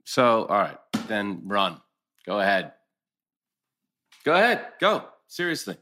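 A man plays a concerned, insistent lawyer in a teen drama. His voice sits fairly low as he presses his case. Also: very animated, slow, bright, forceful tone, slight background noise, exaggerated voice. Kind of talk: table read acting.

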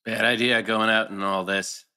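A man's voice: lowish voice, level tone, moderate pace, bright, forceful tone, clear, resonant tone. A man playing a conciliatory, wry, earnest middle-aged husband in a TV drama table read.

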